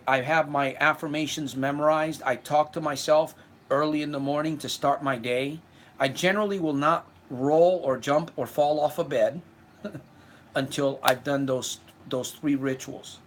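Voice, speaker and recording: A man's voice: fairly high pitch, steady tone, moderate pace. A man in his fifties from Puerto Rico, giving an earnest self-help livestream talk and answering viewer comments, often repeating words as he goes. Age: 50s.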